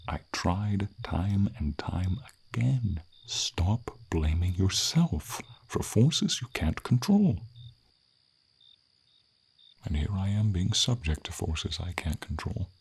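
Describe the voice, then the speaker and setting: Guy doing a wise old dragon for asmr fantasy, really low n gravelly, solemn but tender, whispery rasp. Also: moderate pace, few pauses, exaggerated voice. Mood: sorrowful. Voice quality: deep, breathy rasp, often dropping to a whisper. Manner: solemn, tender, formal storybook diction. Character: old friendly silver dragon, wistful protector of a knight. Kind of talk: ASMR fantasy roleplay, dramatic monologue.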